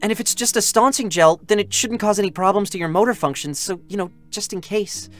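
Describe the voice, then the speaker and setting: A man's voice: high voice, fairly quick, faint music behind, natural voice. A man voicing a boyish, chatty young male android scout in a dramatic reading, eager, hesitant and openly emotional. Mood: anxious, caring.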